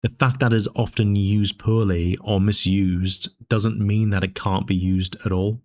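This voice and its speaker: Lower-voiced man hosting a commentary channel. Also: steady tone. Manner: measured, discursive, with frequent fillers and restarts. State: dry voice, sipping tea. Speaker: political commentary channel host. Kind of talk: casual spoken commentary.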